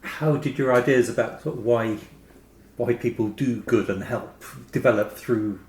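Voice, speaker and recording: A man interviewing a guest conversationally, putting his questions in a tentative, halting way. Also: medium pitch, darkish tone. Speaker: podcast interviewer. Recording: echoey room, faint background noise.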